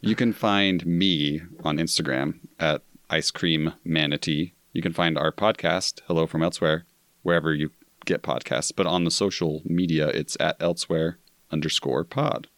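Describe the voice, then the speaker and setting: Male podcast guest, very low-pitched, relaxed and enthusiastic storyteller, close dry recording. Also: moderate pace, dry recording. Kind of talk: casual podcast chat.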